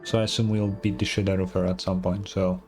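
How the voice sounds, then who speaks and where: A man's voice: deep voice, flat delivery, a little fast, a little mellow. A man streaming a game, giving casual, offhand reaction commentary with blunt swearing over game music.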